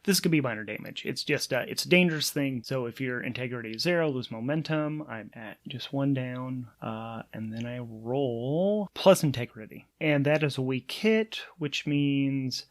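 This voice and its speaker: A man narrating a solo tabletop game as its game master and player, thinking aloud in an informal, animated way. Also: medium pitch, normal speed, few pauses.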